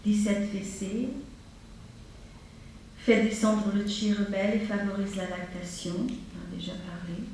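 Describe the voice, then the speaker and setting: A woman teaching a class on acupuncture, going slowly and steadily through a list of treatment points. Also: mid-range voice, little pitch movement, a little mellow, distant mic, a little hiss or hum. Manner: slow, measured delivery, listing items one by one. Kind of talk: lecture listing treatment points. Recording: very echoey room, voice from afar.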